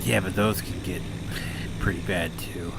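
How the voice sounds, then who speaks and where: A man's voice: low-pitched, a little slow, creaky. A man with a slight Southern drawl, chatting casually while gaming, swearing easily and laughing often. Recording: steady background noise.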